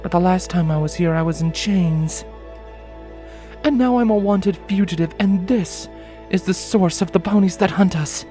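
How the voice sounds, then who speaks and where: A woman playing a wary ex-soldier pony, speaking in a formal, commanding, measured way in a dramatic story reading. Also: on the low side, mellow, faint music behind, close to an everyday voice. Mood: grim. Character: stern, wary female pony, ex-soldier fugitive. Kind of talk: dramatic audiobook acting.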